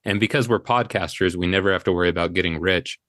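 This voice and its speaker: Man, low-pitched voice, thoughtful, halting delivery, podcast guest, dry close sound. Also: a bit bright, no room sound. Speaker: podcast guest, indie audio drama creator. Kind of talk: reflective casual interview talk.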